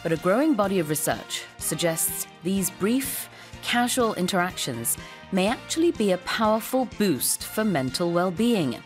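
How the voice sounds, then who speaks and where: A woman's voice: mid-range voice, sing-song, faint music behind. A woman hosting a morning radio show from a studio, reading out news items and chatting with a guest. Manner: brisk, reads the Korean parts much faster than the English. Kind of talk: bilingual morning radio talk.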